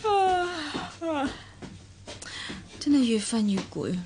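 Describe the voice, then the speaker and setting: Proud, weary young Hong Kong wife, high-voiced. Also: female voice, big pitch swings, drawn-out words, darkish tone, slight background noise, heavily acted. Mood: groggy, weary. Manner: cutting, sarcastic. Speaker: radio drama actress. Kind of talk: Cantonese radio drama acting, monologue and dialogue.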